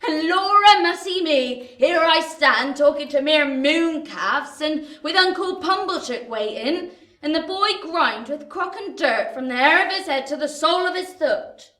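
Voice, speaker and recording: A woman acting a bossy, scolding wife in a radio drama. Her voice is high and her manner sharp, sarcastic and nagging. It rings in a roomy space. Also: animated, a little slow, exaggerated voice. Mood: exasperated scolding. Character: shrewish, scolding, domineering working-class wife.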